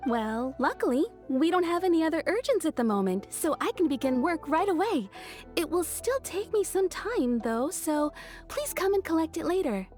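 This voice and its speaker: Very high-voiced, courteous shopkeeper. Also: female voice, very animated, normal speed, a little mellow, soft background music. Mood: helpful. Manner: polite customer-service phrasing. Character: courteous, businesslike female tailor shopkeeper. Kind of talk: video game voice acting.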